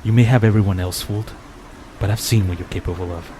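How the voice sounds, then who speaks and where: A man's voice: fairly low pitch, steady tone, fast, lightly acted. A man playing a suspicious, foul-mouthed city protector in an audio drama, confrontational and sneering. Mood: hostile, threatening. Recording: clear background noise, ambience.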